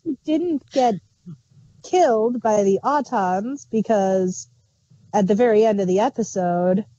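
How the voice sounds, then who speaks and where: Dark-voiced woman, casual chat. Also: mid-range voice, a little slow, no room sound, lo-fi sound. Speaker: podcast co-host reviewing audio dramas.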